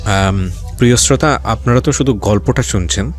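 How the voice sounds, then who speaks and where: A man's voice: fairly low pitch, normal speed, soft background music. A man with a Bangladeshi accent hosting a conversational interview, speaking attentively with hesitations and repeated words. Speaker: show host, interviewer. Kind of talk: conversational interview with short prompts.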